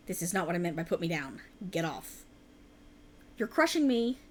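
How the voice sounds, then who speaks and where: A woman's voice: lowish voice, very animated, measured pace, slight background noise. A woman acting a sleep-deprived, stubborn writer girlfriend in a conversational ASMR roleplay, with a teasing, dramatic, bantering manner. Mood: stubborn, defensive.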